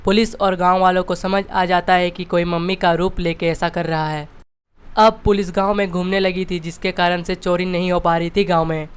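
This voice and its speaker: A narrator reading a scripted cartoon story. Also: mid-range voice. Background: background music.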